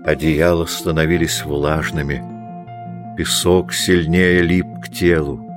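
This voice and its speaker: A man narrating a dramatic literary audiobook with measured, deliberate phrasing. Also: a bass voice, level tone. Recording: music behind the voice.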